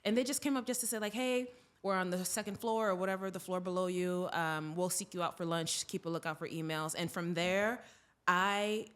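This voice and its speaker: A woman's voice: fairly low pitch, fairly even intonation, a little fast, fairly bright tone, clear, resonant tone. A woman from Boston speaking as a podcast guest, telling her story in a casual, informal, conversational way. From Boston, United States. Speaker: podcast guest, advertising professional.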